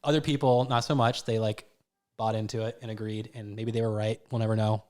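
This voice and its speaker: A man's voice: fairly low pitch, flat delivery, brisk, darkish tone. A young man, a former podcast host returning as a guest, talking casually with a slightly nasal cold voice and rambling, self-interrupting sentences. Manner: rambling, hesitant, many restarts. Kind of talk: casual chat.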